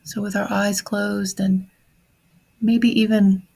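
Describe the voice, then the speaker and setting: Female meditation guide, unhurried, creaky low-energy fry, mellow tone, gentle pauses. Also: level tone. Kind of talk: guided meditation on grief, slow and reflective.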